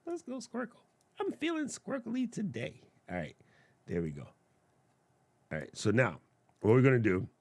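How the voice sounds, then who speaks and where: A man hosting a casual livestream, demonstrating gear in a playful, jokey way and chatting with viewers in a naturally loud voice, close on a studio mic. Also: on the low side, no room sound. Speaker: tech reviewer livestream host. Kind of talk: casual livestream product demo. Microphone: studio broadcast mic up close.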